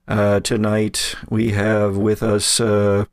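A man with a gravelly voice hosting a show, moving between scripted reading and casual talk. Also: on the low side, completely flat delivery, takes it slowly, no room sound, clean studio sound. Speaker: podcast host.

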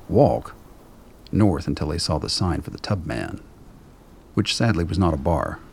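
Very deep, gravelly male narrator. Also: a little hiss or hum. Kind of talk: scripted radio drama narration.

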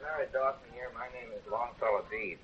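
A man's voice: mid-range voice, fairly quick, close to an everyday voice. An actor playing a wholesome, earnest, shy small-town man in a 1930s radio drama, on a tinny old recording. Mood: cheerful, sincere. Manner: plain-spoken, earnest. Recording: tinny phone-like 1930s radio, music behind.

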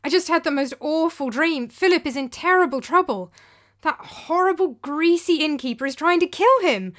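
A woman's voice: sits high, big pitch swings, moderate pace, fairly bright tone, pitched above the performer's own voice. A woman voicing an anxious young man who earnestly and urgently insists his friend is in danger.

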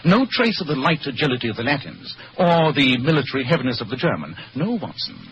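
A man playing a brilliant, sardonic English gentleman detective in a radio drama, speaking precisely with dry superiority and an English accent. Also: mid-range voice, very animated, fast talker. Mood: keen, probing. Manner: precise, theatrical, dryly superior. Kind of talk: old-time radio drama acting. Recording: dull, noisy old radio recording.

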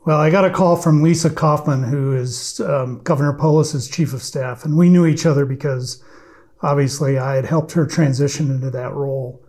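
Raspy-voiced man with allergies. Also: a little high, fairly even intonation, darkish tone, soft background music. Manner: measured, explanatory, with fillers. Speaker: podcast guest. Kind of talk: conversational interview answers.

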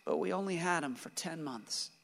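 A man's voice: fairly high pitch, animated, normal speed, gravelly, fairly bright tone. An actor playing a grieving, guilt-ridden father who clings to his guns, in a radio play. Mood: sorrowful, bitter. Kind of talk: stage-like radio play acting. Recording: reverberant hall-like room.

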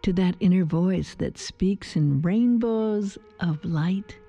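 A woman leading a guided meditation in a soft, soothing, gentle voice, with poetic phrasing. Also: fairly low pitch, slow, muffled recording. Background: soft music.

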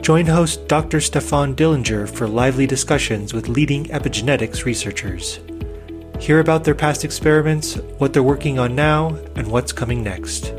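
Guy doing a smooth scripted podcast intro, guitar music behind. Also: medium pitch, normal speed. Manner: smooth promotional read. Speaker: announcer.

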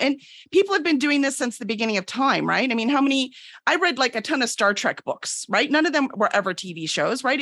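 High-voiced woman explaining emphatically. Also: fairly bright tone, dry recording. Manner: emphatic, peppers points with "right?". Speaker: podcast guest, book publisher. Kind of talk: casual explanatory talk.

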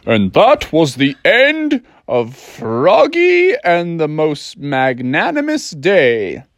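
A man's voice: a little high, huge pitch swings, slow pace, dry recording, studio quality, exaggerated voice. A man voicing a jovial, slightly pompous father frog with stately, formal phrasing in a children's story. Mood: grand, pleased.

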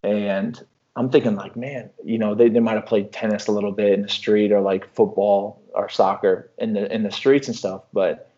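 A young man from Illinois talking casually in an interview, relaxed and rambling, often restarting his sentences. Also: lowish voice, moderate pace, muffled recording. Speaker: professional basketball player.

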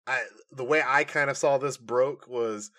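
Man, mid-pitched voice, podcast host, casual chat, animated, informal explainer. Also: unhurried.

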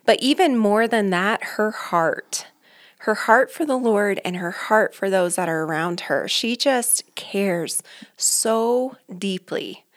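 A middle-aged woman co-hosting a faith podcast, speaking in an effusive, affirming way. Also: mid-range voice. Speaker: podcast co-host. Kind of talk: casual chat.

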